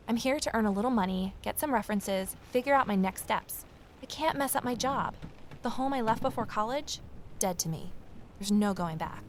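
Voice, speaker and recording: A woman's voice: mid-range voice, darkish tone, a little hiss or hum, close to an everyday voice. A woman playing a wry, uneasy young journalist who narrates a mystery audio drama in a confiding way. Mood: brooding. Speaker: lead character and narrator.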